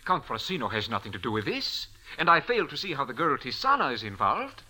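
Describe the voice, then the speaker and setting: A count's insinuating aide in an old radio play. He speaks with stiff courtesy and indignation, in a very bright, animated voice. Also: medium pitch, fairly quick, heavily acted, rough recording. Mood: indignant. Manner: formal, oily, indignant. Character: smooth, insinuating, formally polite Italian count's aide. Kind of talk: 1940s radio drama acting.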